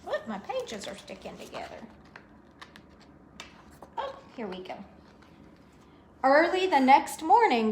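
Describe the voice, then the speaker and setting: A woman's voice: on the high side, a little hiss or hum. A woman reading a picture book aloud to young children, expressively, with chatty asides and questions to her listeners.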